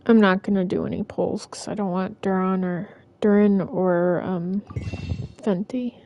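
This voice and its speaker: A woman's mid-range voice talks casually on a game livestream. She goes slowly and haltingly, thinking aloud, with faint music underneath. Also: medium pitch, steady tone, dark tone, lo-fi sound.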